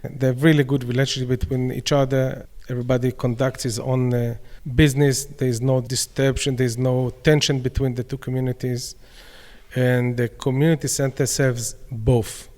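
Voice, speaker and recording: A man with an Israeli accent answers questions at an easy mid pitch, plain and a little halting. His voice rings with a long room reverb. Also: little pitch movement, a little mellow. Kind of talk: casual interview answers. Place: community centre dining room.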